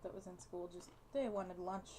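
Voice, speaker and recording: A woman's voice: fairly low pitch, moderate pace, warm tone, slight background noise. A young woman hosting a gaming video, chatting casually and reading game text aloud.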